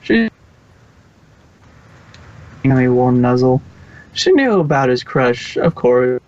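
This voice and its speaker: A man's voice: medium pitch, sing-song, slow pace, darkish tone. A man reading scripted story narration as an amateur narrator. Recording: dull, lo-fi home recording.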